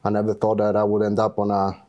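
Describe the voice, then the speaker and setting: Guy, mellow voice, halting, keeps restarting sentences. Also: fairly low pitch, completely flat delivery, muffled recording. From Sweden. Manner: halting, restarts often, simple phrasing. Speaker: guest. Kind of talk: interview.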